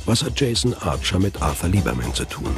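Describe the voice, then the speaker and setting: Man, deep voice, scripted thriller narration, background music. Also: very animated, fairly quick.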